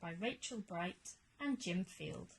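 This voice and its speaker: A woman reads a rhyming children's story at an unhurried pace. Her delivery is expressive and measured, leaning on dramatic emphasis, with faint noise behind her. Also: fairly low pitch, rough recording. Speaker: teacher reading a picture book aloud.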